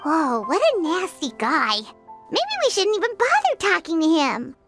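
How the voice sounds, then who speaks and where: An actress voicing a small, chirpy childlike companion in an English-dubbed anime game, blunt and squeaky. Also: female voice, wildly swooping pitch, a little slow, a bit bright, full character voice. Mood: indignant. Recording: music behind the voice.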